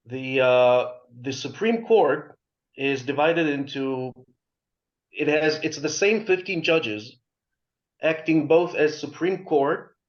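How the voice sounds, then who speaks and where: A man, arguing emphatically on a video call, repeating words and restarting sentences. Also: mid-range voice. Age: 40s. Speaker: panelist. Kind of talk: opinionated discussion on a video call. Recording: muffled video call audio.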